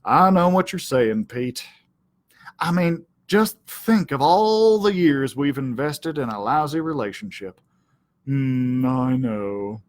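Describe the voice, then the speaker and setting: A man's voice: mid-range voice, animated, slow pace. A man voicing a boastful, folksy ranch dog who narrates his own storybook adventures in a self-important, theatrical way. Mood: dry. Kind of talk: first-person storybook narration.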